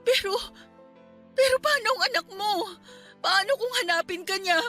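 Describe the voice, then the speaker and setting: A woman's voice: extremely high pitch, normal speed, bright, forceful tone, soft background music. A woman playing a long-suffering young mother in an emotional radio drama, earnest and pleading with her partner. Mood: anxious pleading.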